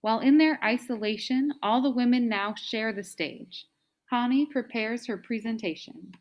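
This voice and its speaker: A woman's voice: on the high side, moderate pace. A woman reading stage directions as scripted narration for a play, on a dull recording.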